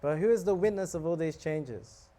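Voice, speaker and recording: Mellow-voiced man giving a casual sermon. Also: fairly high pitch, normal speed. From South Africa. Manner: conversational, joking, asks the audience questions. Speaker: monk giving a scripture talk. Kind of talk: casual sermon to a live audience.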